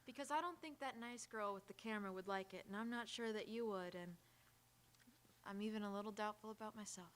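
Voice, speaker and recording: A woman's voice: mid-range voice, fairly quick, lightly acted. A young woman playing a proud, wry, emotional society bride in live radio theatre. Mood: gentle, wistful.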